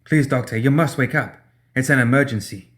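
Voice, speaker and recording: A man's voice: mid-range voice, a little fast, a bit bright, pitched above the performer's own voice, lightly acted. A man playing an urgent, commanding woman boss. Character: urgent woman boss of steel mill workers.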